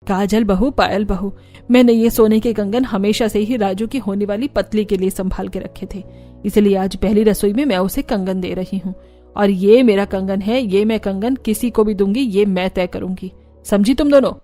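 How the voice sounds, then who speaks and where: A woman's voice: medium pitch, steady tone, moderate pace, warm tone, faint music behind, close to an everyday voice. A woman voicing a firm, fair-minded older mother-in-law in a cartoon, scolding yet reasonable. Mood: stern.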